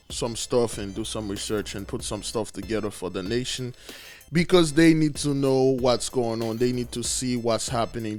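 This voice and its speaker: A raspy man preaching in an emphatic commentary, pressing hard on key words. His pitch sits mid-range for a man, and faint music plays underneath. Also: darkish tone. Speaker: religious video host.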